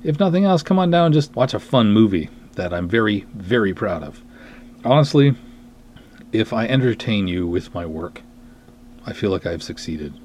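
A man's voice: on the low side, sing-song, normal speed, darkish tone, a little hiss or hum. A man hosting a podcast in a casual monologue, rambling along in a self-deprecating way. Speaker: podcast host, film writer and director.

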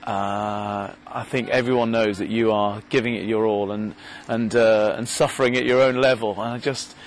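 A man's voice: lowish voice, fairly even intonation, normal speed, a little hiss or hum. A man hosting a podcast, speaking off the cuff at a race by a lake, hesitant with many fillers and restarts. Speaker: podcast host. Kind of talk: casual on-the-spot commentary and interviews. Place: outdoors at a race lakeside. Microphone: handheld recorder.

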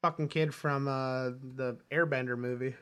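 A man's voice: mid-range voice, steady tone, moderate pace, fairly bright tone, smooth. A man co-hosting a film podcast, chatting casually and telling stories with joking exaggeration. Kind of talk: casual movie chat.

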